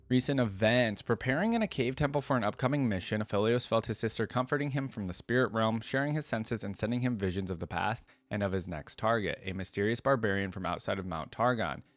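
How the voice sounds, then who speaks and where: A man with a fairly low voice reads aloud at a quick clip in a dry, close-sounding recording. He slips between reading and casual commentary, thinking aloud with curiosity like a reaction creator. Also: dry recording.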